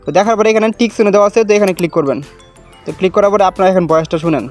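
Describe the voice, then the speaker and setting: A man's voice: sits high, racing, darkish tone, soft background music. A man with a Bangladeshi accent hosting a casual step-by-step tutorial in colloquial, repetitive instructions. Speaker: tutorial video host.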